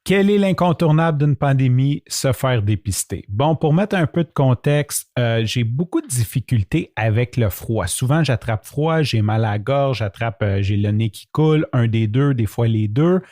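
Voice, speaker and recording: A man's voice: mid-range voice, expressive, normal speed, hardly any pauses, dark tone, dry recording, clean studio sound. A man with a Québécois French accent, hosting a podcast and telling a personal story in a chatty, self-mocking way, often laughing as he talks. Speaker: podcast host. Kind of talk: casual solo storytelling.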